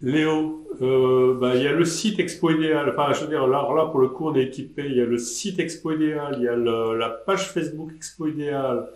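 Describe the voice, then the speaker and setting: An older man answers questions from his desk in an online session, speaking at an ordinary pitch with a hesitant, rambling flow full of restarts. His webcam picks up a roomy echo. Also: fairly even intonation, fairly quick, few pauses, darkish tone. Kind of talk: live online Q&A. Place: indoor room at a desk.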